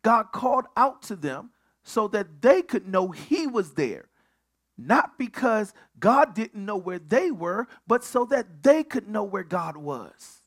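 A man's voice: high-pitched, big pitch swings, takes it slowly, a bit bright. A male pastor preaching at a midweek Bible study, building on repeated phrases and often stumbling over restarts.

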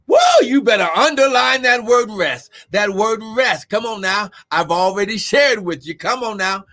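A male preacher delivering a fervent sermon, shouting often and calling out to members of his congregation. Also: high voice, moderate pace. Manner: shouting, exclamatory, calls out congregation members by name. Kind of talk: Pentecostal-style sermon.

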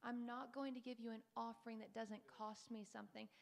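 A woman's voice: fairly high pitch, flat delivery, a little fast. A young woman in her twenties giving a fervent preaching testimony on a church panel. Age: 20s. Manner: fervent, breathy preaching, mimics tongues. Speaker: panel speaker.

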